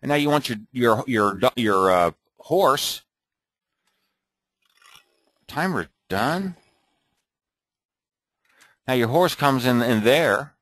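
A man thinking aloud and muttering to himself as he clicks through an off-the-cuff software tutorial at his computer. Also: mid-range voice, animated, slow pace, pauses often, no echo, studio quality. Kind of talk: off-the-cuff screen recording tutorial.

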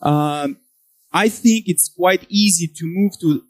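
Higher-voiced man giving a tech talk. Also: takes it slowly, dry recording. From Portugal, where he says he came from. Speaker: conference speaker. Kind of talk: technical conference presentation. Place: on stage in a conference hall.

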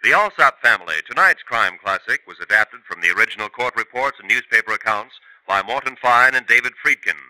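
A man's voice: mid-range voice, expressive, brisk, few pauses, bright, forceful tone, dry recording, poor audio. A man announcing the credits and promotions of a 1950s radio show in a polished delivery.

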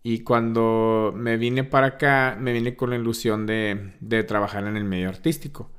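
A man in his thirties from Texas, a guest on a casual podcast, relaxed and hesitant, speaking into a studio mic. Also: fairly low pitch, moderate pace, clear, resonant tone. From El Paso, Texas. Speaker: guest, actor and singer. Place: small decorated studio room. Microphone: studio mic on boom arm, headphones.

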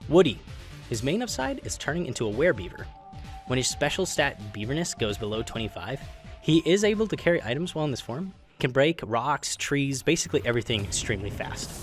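A man's voice: medium pitch, very animated, fairly quick. A man narrating a game explainer voice-over in an informal, opinionated, emphatic way, with casual asides. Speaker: gaming video narrator. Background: background music.

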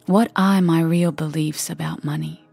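A woman guiding a meditation in a soft, breathy, husky voice that often nears a whisper, gentle and unhurried with long pauses. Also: low voice, fairly even intonation, soft background music. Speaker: meditation guide. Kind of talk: guided meditation, soft and soothing delivery.

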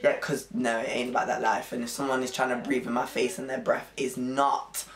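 A hoarse young man from England with a Nottingham accent, chatting playfully to camera in his room. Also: mid-range voice, fairly quick, echoey room. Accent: Nottingham English. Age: young adult. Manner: chatty, animated, playful slang. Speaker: vlogger. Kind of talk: casual chat to camera. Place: bedroom at home, indoors.

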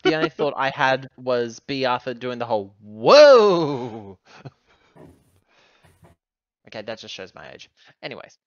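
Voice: mid-range voice, very animated, a little slow, pauses often, dry recording, dull audio. A tabletop game player chatting casually and jokingly.

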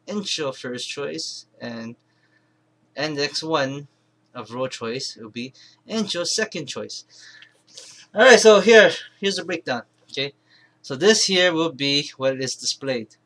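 Bright-voiced man giving a halting tutorial. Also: mid-range voice. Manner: halting, rambling, self-correcting, mumbled asides. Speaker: tutorial presenter. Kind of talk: informal screen-recorded software tutorial, thinking aloud. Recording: clear background noise, keyboard and mouse clicks.

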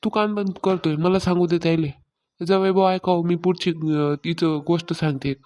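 A woman's voice: lowish voice, fairly even intonation, normal speed, mellow, lightly acted. Woman playing a meddling village gossip, cartoon comedy, rural Maharashtrian accent, taunting, insistent, level intonation. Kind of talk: Marathi comedy cartoon dialogue.

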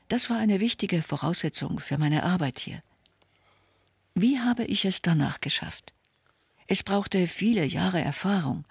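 Guy doing a calm voice-over translation, kinda gravelly, a bit high for a man. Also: dry recording. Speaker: voice-over interpreter. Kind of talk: read voice-over translation of signed speech.

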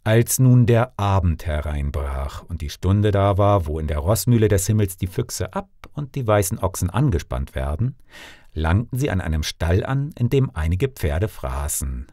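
A man narrating a fairy tale as an actor would, in an expressive, dramatic scripted style. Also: low voice, moderate pace, no room sound, clean studio sound. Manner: theatrical, dramatic. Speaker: actor and storyteller.

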